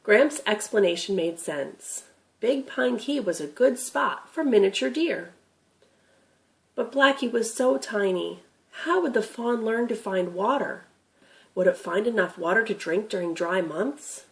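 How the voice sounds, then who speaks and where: A woman's voice: medium pitch, animated, unhurried, vocal fry. A woman narrating a children's story as a scripted dramatic reading.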